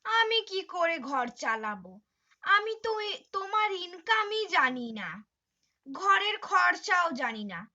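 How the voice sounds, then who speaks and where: A woman playing a carefree young daughter-in-law in a puppet-show moral story, with exaggerated, drawn-out delivery. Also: very high voice, very animated, sharp tone, exaggerated voice. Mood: fretful. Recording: dull lo-fi audio.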